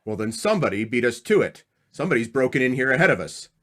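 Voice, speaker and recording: A man's voice: medium pitch, very animated, quick pace, fairly bright tone. A man playing a loyal, reassuring friend and husband in old-time radio drama, speaking in short clipped phrases. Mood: startled.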